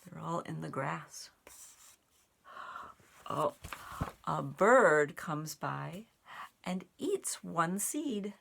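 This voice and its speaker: A woman reading a children's picture book aloud, phrasing it deliberately in short pieces with animated emphasis. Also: sits low, vocal fry, slight background noise. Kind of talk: expressive read-aloud for young children.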